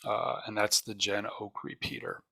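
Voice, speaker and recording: An American man giving an explanatory presentation in a plain, methodical way, with hesitant pauses as he goes. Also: lowish voice, fairly even intonation, vocal fry, sharp tone, slightly rough, no echo. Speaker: presenter of an emergency radio network.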